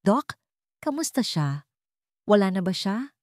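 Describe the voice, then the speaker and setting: A woman's voice: medium pitch, big pitch swings, slow pace, darkish tone, no room sound, clean studio sound. A woman voicing a desperate, anguished young man in an audio drama, pleading dramatically. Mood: anxious.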